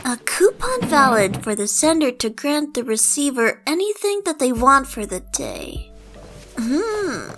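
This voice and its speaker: A woman's voice: sits high, wildly swooping pitch, a little slow, a bit bright, soft background music, pitched above the performer's own voice, exaggerated voice. A woman voicing a playful, cheeky male bard with a teasing manner in an audio roleplay. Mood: gleeful.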